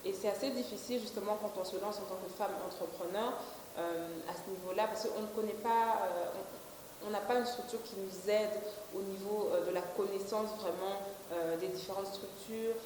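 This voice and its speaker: A woman's voice: mid-range voice, steady tone, moderate pace, darkish tone. Woman, twenties, company director; hesitant interview answers; roomy reverb. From Belgium. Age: 20s. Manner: hesitant, many fillers and restarts. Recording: echoey room with faint hiss.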